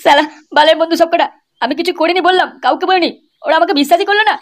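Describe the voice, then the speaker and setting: Woman voicing a fast, high-pitched foul-mouthed cartoon lad. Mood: bitter, vengeful. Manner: crude, scolding, swearing constantly. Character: brash, foul-mouthed young Bengali village troublemakers. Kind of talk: amateur cartoon comedy dubbing.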